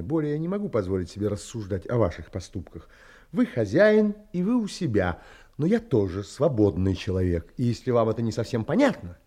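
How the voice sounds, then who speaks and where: An actor playing an earnest, reflective gentleman traveler, narrating an audio drama in literary, polite phrasing. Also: mid-range voice. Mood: firm, indignant. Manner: literary, measured, polite but firm.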